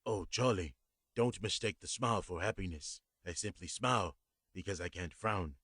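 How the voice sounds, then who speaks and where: A man voicing a kindly, polite old man with a faint foreign accent, speaking gently and warmly. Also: low-pitched, moderate pace, no echo, studio quality. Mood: solemn, earnest. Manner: gentle, warm, softly spoken.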